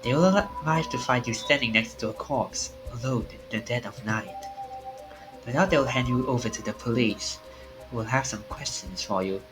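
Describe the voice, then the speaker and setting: A man's voice: mid-range voice, over music, lightly acted, rough recording. Man voicing a grave, menacingly polite game villain. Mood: disbelieving, stern. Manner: measured, stiffly formal, menacingly polite. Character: grave, formal man calmly warning of a deadly curse. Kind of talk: visual novel character voice.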